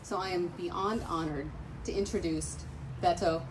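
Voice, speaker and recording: A woman's voice: on the low side, steady tone, a little slow. An American woman hosting an outdoor event gives a prepared introduction speech to a gathering. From United States, raised on military bases. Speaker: event host introducing a speaker. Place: outdoors on a front lawn. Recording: very noisy outdoor recording.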